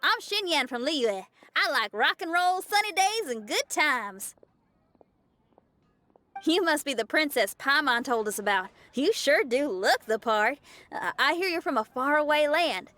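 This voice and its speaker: Upbeat young anime rock musician: high, animated, earnest, down-to-earth. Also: female voice, measured pace, exaggerated voice. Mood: cheerful. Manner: casual, earnest. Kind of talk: anime game cutscene acting.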